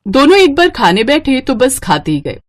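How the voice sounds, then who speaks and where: A woman narrating an animated moral story in a scripted storytelling style. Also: high voice, normal speed, no room sound.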